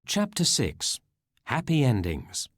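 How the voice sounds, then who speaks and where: Slow, animated male storyteller. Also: medium pitch. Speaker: storybook narrator. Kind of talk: slow read-along storytelling for beginners.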